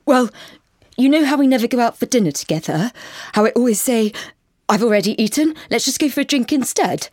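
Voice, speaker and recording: A woman's voice: on the high side, lively intonation, brisk, darkish tone, no echo, studio quality, voice pushed up. A woman doing a whiny tear-drinking vampire boyfriend. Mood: sheepish. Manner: whiny, self-pitying, manipulative. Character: moody young man who drinks tears.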